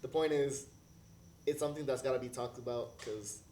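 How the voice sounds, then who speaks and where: In an echoey room with faint noise, a man talks as a podcast co-host. His pitch is average for a man, and his delivery is casual, slangy and conversational, like someone telling stories among friends. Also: brisk, mellow. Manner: informal, slangy, acts out quotes.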